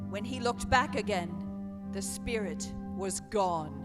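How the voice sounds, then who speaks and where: A woman's voice: mid-range voice, expressive, slow pace, loud music behind the voice. A woman reading scripted narration as a narrator in a radio play.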